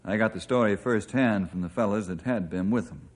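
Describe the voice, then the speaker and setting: An actor playing a brisk, capable forest ranger in an old radio drama, speaking in a commanding way. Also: male voice, lowish voice, lightly acted. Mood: narrating. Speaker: ranger hero. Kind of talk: 1950s radio drama acting. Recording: noisy old radio recording.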